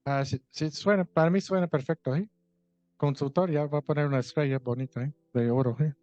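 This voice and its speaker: A man's voice: a little high, fairly even intonation, fairly quick, mellow, dry recording, dull audio. A man leading a Bible translation workshop, explaining patiently, restarting words and asking the group for feedback. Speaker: translation consultant leading a session.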